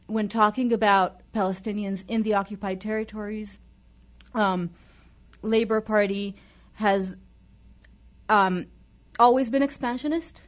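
A woman from Israel with an Israeli accent, speaking as an interview guest in a deliberate, emphatic, explanatory way. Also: mid-range voice, fairly even intonation. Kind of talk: explanatory political discussion.

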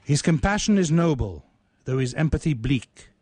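A man's voice: on the high side, lively intonation, moderate pace, a little mellow, breathy edge, no room sound. Man in a dry, close studio sound. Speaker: poet and radio theatre co-host. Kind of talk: casual reminiscing and dramatic poetry recital.

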